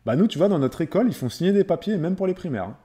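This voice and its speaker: Mellow-voiced man chatting casually on a livestream. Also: high voice, big pitch swings, racing. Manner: conversational, repeats phrases for emphasis. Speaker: live streamer reading viewers' chat. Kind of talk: casual live-stream chat.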